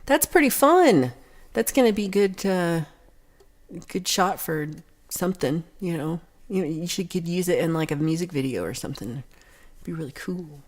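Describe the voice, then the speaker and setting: A woman's voice: fairly low pitch, animated, moderate pace. A young woman with a Texan accent who hosts a casual livestream. She reads viewer comments aloud and talks in a rambling, chatty way. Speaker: livestream host reading chat. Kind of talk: casual chat.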